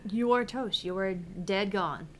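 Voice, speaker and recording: A woman's voice: medium pitch, takes it slowly. A woman game streamer chatting casually during a stream.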